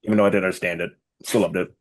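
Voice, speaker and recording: Low-pitched man chatting casually. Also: steady tone, rapid-fire. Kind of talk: casual chat.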